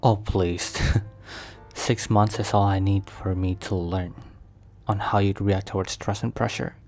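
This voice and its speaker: Low-voiced, formal mafia boss boyfriend played by a man. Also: steady tone, darkish tone, soft background music. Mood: concerned, gentle. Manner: measured, formal, halting, stilted phrasing. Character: young male mafia boss, overprotective, formal, attentive boyfriend. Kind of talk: ASMR boyfriend roleplay.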